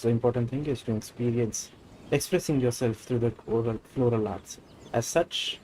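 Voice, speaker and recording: A man's voice: medium pitch, warm tone, slight background noise, natural voice, rough recording. A man voicing a polite, gracious woman florist from a video game, formal and explanatory. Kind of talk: reading video game dialogue aloud. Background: game music.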